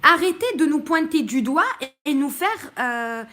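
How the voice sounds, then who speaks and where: A woman's voice: high-pitched, lively intonation, unhurried, a bit bright. A woman from Morocco speaking bluntly in a live-stream monologue, greeting viewers as she goes and often hesitating mid-sentence. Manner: outspoken and blunt, with many hesitations.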